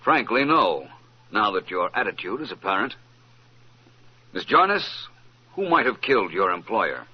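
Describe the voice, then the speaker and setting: A man's voice: fairly low pitch, very animated, measured pace, pauses often, slightly rough, exaggerated voice. An actor playing a suave, assured male detective, courteous but probing, in 1940s radio drama style. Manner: polished, courteous, incisive. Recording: muffled, noisy old radio recording.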